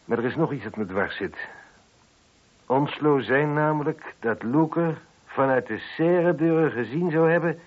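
An actor playing a probing, wry police inspector who muses and questions with dry irony in a radio play. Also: male voice, fairly high pitch, moderate pace, lightly acted. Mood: musing. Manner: musing, questioning, dryly ironic. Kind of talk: Dutch radio drama dialogue. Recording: muffled lo-fi old radio recording.

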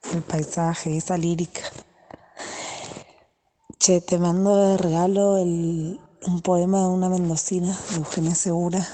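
A woman leaving a relaxed, affectionate voice message for a friend. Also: lowish voice.

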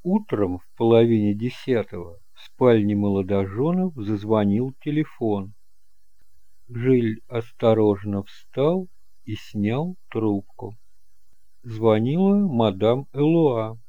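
A man narrating a detective audiobook in a measured, deliberate storytelling manner, in a homemade recording. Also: lowish voice, expressive, no echo, lo-fi sound. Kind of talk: scripted dramatic narration of a detective novel.

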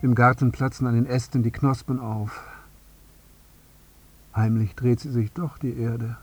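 An actor playing a bereaved, broken man in a radio drama monologue, speaking in stark, deliberate poetic phrases with a husky voice. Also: medium pitch, fairly even intonation. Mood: numb grief. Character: grieving bereaved father, broken, obsessive, demanding an apology.

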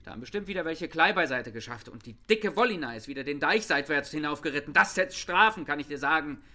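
Ein Schauspieler spielt in einem Hörspiel einen ernsten, fleißigen und strengen jungen Knecht. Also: male voice, a little high, animated, quick pace, sharp tone. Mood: stern, zealous. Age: young adult. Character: earnest, strict, diligent young farm lad, quick at sums. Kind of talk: radio play acting.

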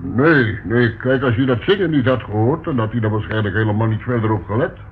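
A man's voice: mid-range voice, animated, brisk, lightly acted. Actor as a homely working man, tinny recording. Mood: matter-of-fact. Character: plain-spoken, helpful working man giving witness report. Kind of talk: radio play acting. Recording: tinny, faintly noisy old radio recording.

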